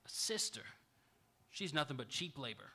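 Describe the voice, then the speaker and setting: An actor playing a gallant, stubborn unarmed cowboy in a live radio Western, speaking in folksy rural style. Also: male voice, mid-range voice, very animated, moderate pace, vocal fry, bright, forceful tone, slightly rough, exaggerated voice. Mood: earnest, defiant. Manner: folksy rural speech, polite but stubborn. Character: earnest, gallant, unarmed cowboy standing up for his sweetheart. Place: auditorium stage, live radio theatre performance.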